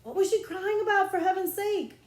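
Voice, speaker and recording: A woman's voice: extremely high pitch, a little fast, roomy sound, pitched above the performer's own voice. A woman voicing an impatient, bossy country boy in an audiobook, with folksy, ungrammatical, blustering talk. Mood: exasperated, impatient. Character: exasperated, impatient country schoolboy, bossy big brother. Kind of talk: audiobook character voice.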